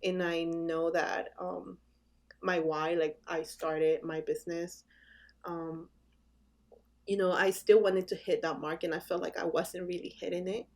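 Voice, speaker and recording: Low, gravelly woman speaking hesitantly. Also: level tone, a little mellow. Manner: hesitant, with many "um" pauses. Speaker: guest, brand designer. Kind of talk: casual interview answers.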